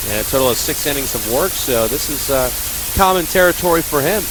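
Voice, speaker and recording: A man's voice: medium pitch, lively intonation, brisk. A man serving as color commentator, adding analysis during a live baseball radio broadcast. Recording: hissy, static-laden radio broadcast.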